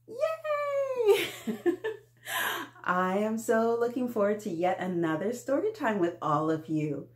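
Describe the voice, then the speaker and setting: Slow-talking animated woman, children's story host. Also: fairly high pitch. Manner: animated, exaggerated, sing-song talk to small children. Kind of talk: read-aloud for young children. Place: small indoor room with painted mural.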